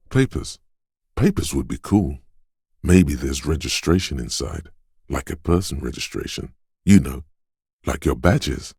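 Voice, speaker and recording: A man playing a detective's inner voice of quick wits and reflexes in a video game, narrating with a measured, dramatic delivery. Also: darkish tone, dry recording, clean studio sound, heavily acted. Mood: urgent, alert. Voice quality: very deep, smooth, resonant.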